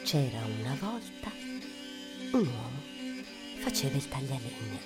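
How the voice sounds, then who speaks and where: A woman telling a fairy tale theatrically in a radio play, with dramatic drawn-out phrasing and sudden whispers. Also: a bass voice, big pitch swings, loud music behind the voice.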